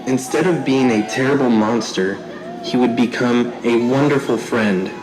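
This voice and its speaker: A storyteller narrating a scripted children's tale in a deliberate, theatrical way. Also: mid-range voice, over music, low-quality recording. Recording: live show with audience noise.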